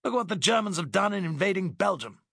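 A man's voice: high-pitched, sing-song, fast, creaky, a bit bright, breathy edge, dry recording, pitched above the performer's own voice, full character voice. A condescending British colonel waves off complaints in a breezy, raised voice, as a male narrator acts him out. The reading is close and dry, like a storybook. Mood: dismissive. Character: dismissive middle-aged British colonel.

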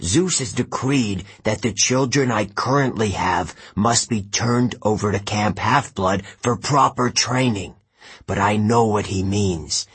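Anxious underworld god, low gravelly pleading, slow delivery, read by a man. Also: a bit bright, full character voice. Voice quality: deep, husky. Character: Hades, anxious brooding god of the dead.

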